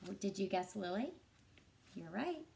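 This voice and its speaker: A woman reading a picture book aloud to children as a teacher, in an expressive, deliberate and engaging way. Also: medium pitch, gravelly, background noise. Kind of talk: expressive storybook narration for children.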